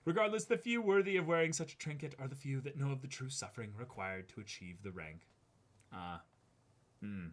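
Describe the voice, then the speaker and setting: A young man co-hosting an unboxing video, chatting casually and reading aloud with an exclamatory, animated delivery. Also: mid-range voice, a little fast. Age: young adult. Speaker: co-host of an unboxing show. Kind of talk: casual excited chat with reading a letter aloud. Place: indoor room, seated before a backdrop.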